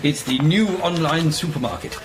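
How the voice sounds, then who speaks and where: An actor playing a cheerful, woolly, genial British magazine boss who rambles through a radio comedy drama. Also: male voice, a little high, expressive, normal speed, noisy background, lightly acted. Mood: rambling, genial. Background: music.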